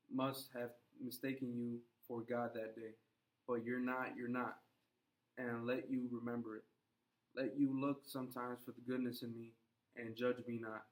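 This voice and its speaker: A man's voice: medium pitch, natural voice, rough recording. A man reading the part of a proud, defensive Puritan husband in a play, stern and exasperated. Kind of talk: classroom play read-aloud.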